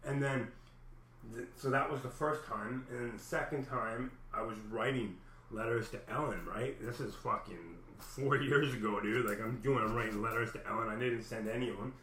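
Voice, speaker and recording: A man's voice: medium pitch, normal speed, a bit bright, a little hiss or hum. A man with a big voice telling a personal story in a rambling, agitated, heavily profane and casual way. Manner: profane, heavy swearing, agitated retelling. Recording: echoey room.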